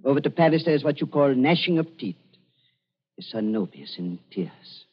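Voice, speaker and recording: An actor playing an elderly German doctor in a radio drama, fawning and falsely soft-hearted, with halting, repetitive speech and a German accent. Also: male voice, medium pitch, big pitch swings, breathy edge. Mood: regretful. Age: older. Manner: fawning, halting, repeats words. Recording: dull lo-fi radio recording.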